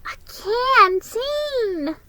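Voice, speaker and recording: A woman voicing an excitable, eager young boy in a children's Christmas story, with emphatic exclamations. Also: extremely high pitch, drawn-out words, no room sound, pitched above the performer's own voice, exaggerated voice. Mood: pleased.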